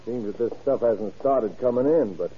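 An actor playing a plain-spoken police lieutenant in a 1930s radio crime drama. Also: male voice, medium pitch, moderate pace, breathy edge, lightly acted. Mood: matter-of-fact. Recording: muffled, lo-fi old radio recording.

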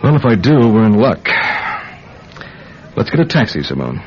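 An actor playing a brisk, wry intelligence agent in an old-time radio drama, dry and probing in manner. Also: male voice, lowish voice, very animated. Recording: muffled, noisy old radio recording.

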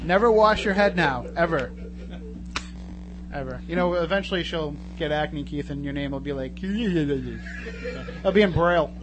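Male talk radio host, fairly high voice, casual banter, noisy humming recording. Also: a little slow, pauses often. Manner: joking, chatty. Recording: noticeable hum and background noise.

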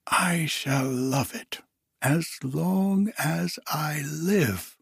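A man voicing an elderly, formerly miserly man who is now giddy with delight, exclaiming in a high, breathless voice. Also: very slow, gravelly, a bit bright, slightly rough, no room sound, studio quality, full character voice. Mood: eager.

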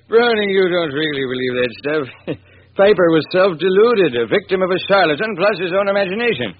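Noisy vintage radio drama: smug, scoffing chemist, breezy, fairly high-pitched. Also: male voice, animated, moderate pace, pauses often, phone-quality sound. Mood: amused scoffing. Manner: breezy, skeptical. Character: smiling, self-assured, scoffing male laboratory chemist. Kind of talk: old-time radio drama acting.

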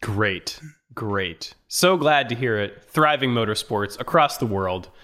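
A man's voice: on the low side, animated, slow, bright, forceful tone. A man hosting a motorsport podcast, presenting in an upbeat, casual way as he moves through names and commentary.

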